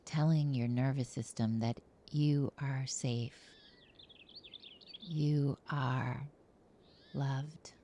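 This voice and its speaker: A woman guiding a relaxation meditation as a teacher, with a soothing, gentle and hushed delivery. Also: a bass voice, creaky, slight background noise.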